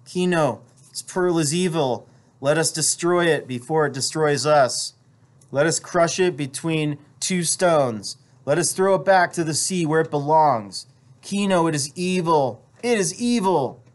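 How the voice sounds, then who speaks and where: A man voicing a frightened wife in a storybook, husky and urgently imploring her husband. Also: fairly high pitch, expressive, slow, few pauses, darkish tone, voice pushed up. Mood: urgent pleading. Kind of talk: storybook character reading.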